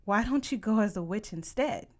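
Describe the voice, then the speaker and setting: A woman's voice: medium pitch, animated, moderate pace, a little mellow, close to an everyday voice. A woman voicing a practical mother who explains things patiently in a story reading. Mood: explaining.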